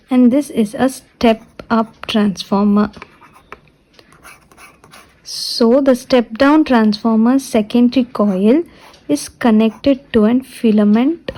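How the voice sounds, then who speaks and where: Higher-pitched woman lecturer with mellow tone. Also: rough recording. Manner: repeats and restates points deliberately. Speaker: physiotherapy lecturer. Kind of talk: step-by-step technical lecture explaining a diagram.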